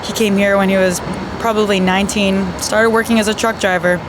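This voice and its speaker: A woman's voice: mid-range voice, steady tone, fairly quick. A woman from Canada, a nurse whose first language is Hebrew, chatting casually with viewers in a vlog and laughing easily. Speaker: vlogger, nurse. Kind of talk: casual chat. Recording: noisy street and car background.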